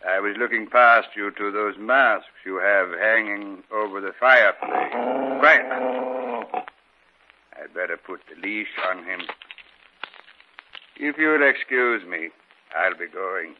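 An actor playing a mysterious, courteous dog owner who speaks deliberately, in 1950s radio drama style. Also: male voice, lowish voice. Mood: politely apologetic. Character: mysterious strange dog owner, polite and unhurried. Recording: muffled, crackly 1950s radio recording.

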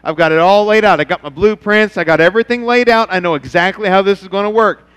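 Middle-aged pastor preaching from the stage, smooth and resonant, long church reverb. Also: male voice, high voice, quick pace. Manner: animated preaching, acts out God's voice in quotes. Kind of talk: sermon.

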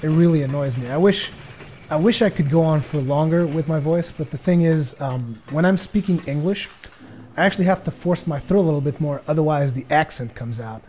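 A young man from Portugal, a native Portuguese speaker, giving jokey rambling let's play commentary on a headset as his voice grows tired and hoarse. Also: on the high side, brisk, a little mellow, slight background noise. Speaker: gaming commentator.

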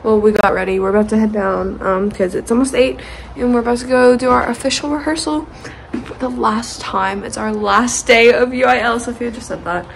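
A woman's voice: on the high side, steady tone, a little fast, vocal fry, slight background noise. A young woman in her late teens talking in casual, chatty, rambling vlog style into a handheld phone. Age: teens, about 18. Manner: chatty, rambling, informal slang. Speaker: vlogger. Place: hotel room, restaurant and street on a trip. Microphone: phone at arm's length.

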